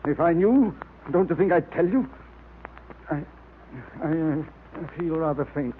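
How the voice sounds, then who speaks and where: Tinny old radio, jittery higher-voiced man actor. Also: a little slow, lightly acted. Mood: defensive, faint. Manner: stammering, jittery, pleading. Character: nervous, frightened, evasive man under threat. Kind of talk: 1930s-40s radio drama acting. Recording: thin, noisy vintage radio recording.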